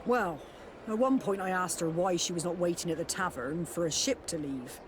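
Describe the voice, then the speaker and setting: A trusting, kind-hearted sailor in a game cutscene, voiced by a man. His voice is notably high, and he talks in a gentle, obliging way. Clear outdoor noise runs behind him. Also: moderate pace, lightly acted. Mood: surprised, thoughtful. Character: kindly, sympathetic, trusting male sailor. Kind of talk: video game cutscene dialogue.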